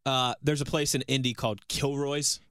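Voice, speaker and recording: A man's voice: mid-range voice, steady tone, normal speed, fairly bright tone, no room sound, studio quality. A man in his early thirties co-hosting a casual sports show, teasing his partner and telling a long chatty story. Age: 30s. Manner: teasing, chatty anecdote-teller. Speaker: co-host.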